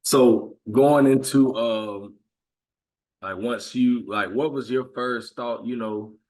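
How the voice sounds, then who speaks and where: A man's voice: mid-range voice, steady tone, slow pace, a little mellow, low-quality recording. A man hosting a podcast interview over a video call. He affirms the guest often with short "yes, sir" replies. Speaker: podcast host. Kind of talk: interview chat.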